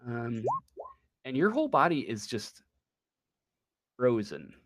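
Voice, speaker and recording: A man working as game master, improvising a tabletop role-playing story in a halting, drawn-out way. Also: mid-range voice, very animated, noisy background.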